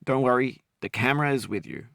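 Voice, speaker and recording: A middle-aged man narrating a game in a calm, musing and wry way, reciting quotations and verse. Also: medium pitch, brisk, vocal fry, no room sound, clean studio sound. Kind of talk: scripted reflective game narration.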